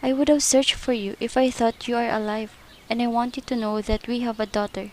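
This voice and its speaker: A young woman delivers dramatic dialogue earnestly and a little pleadingly. Her voice is fairly high, with faint ambient noise behind it. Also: fairly even intonation, fairly quick. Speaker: student voice actor. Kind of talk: amateur radio drama acting.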